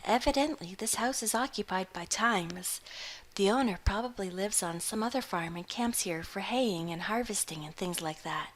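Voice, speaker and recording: A capable, practical young woman, as a woman reading a storybook puts her on, talking in a brisk, matter-of-fact way. It stays close to the reader's own everyday voice. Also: medium pitch, natural voice, poor audio.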